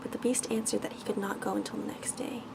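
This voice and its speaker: Creaky-voiced woman reading a bedtime story. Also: medium pitch, steady tone, fast talker. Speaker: ASMR storyteller. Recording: clearly noisy background ambience.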